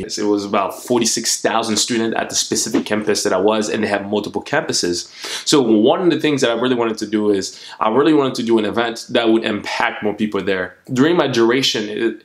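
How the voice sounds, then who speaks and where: A man in his twenties from the Democratic Republic of Congo, speaking earnestly to camera as a motivational vlogger. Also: lowish voice. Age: 20s. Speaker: YouTube host. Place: small furnished room at home.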